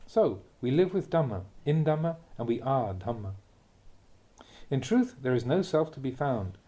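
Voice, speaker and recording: A man with a mellow, soft-edged voice reads a spiritual teaching aloud as a monk would. His phrasing is measured and deliberate, in short clauses, and his mid-pitched voice rises and falls expressively. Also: very animated. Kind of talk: read-aloud dharma talk, audiobook narration.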